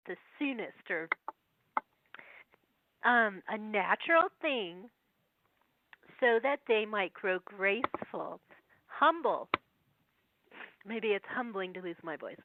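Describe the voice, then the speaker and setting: A woman with a cold, her voice going, speaking as a guest over the phone and reading old verses slowly between chatty asides. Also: mid-range voice, no echo. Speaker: guest researcher. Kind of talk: phone interview, reading prophecy verses aloud. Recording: tinny phone line.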